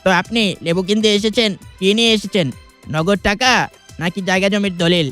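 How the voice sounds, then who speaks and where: A man's voice: high voice, fairly quick, music in the background, lightly acted. A man voicing a smug, businesslike gold-shop salesman in a comic cartoon.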